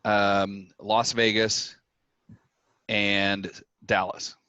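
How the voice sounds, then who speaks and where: A man's voice: lowish voice, level tone, extremely slow, sharp tone, smooth, no echo. Dry slightly muffled audio, guy chatting casually on a group call.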